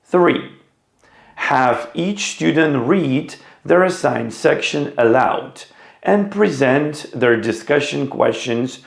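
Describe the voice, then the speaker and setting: A male teacher explains classroom reading methods at an unhurried, deliberate pace. His pitch moves animatedly up and down, with a gravelly touch on low notes. The sound carries a roomy echo. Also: expressive. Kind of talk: instructional video talk.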